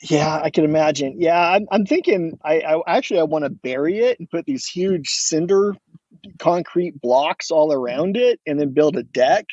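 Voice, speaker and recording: Mellow, easygoing middle-aged man. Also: a little high, moderate pace, no echo, dull audio. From Oregon, USA. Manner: relaxed, rambling, many restarts, chuckles. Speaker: guest entrepreneur. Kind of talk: casual interview talk.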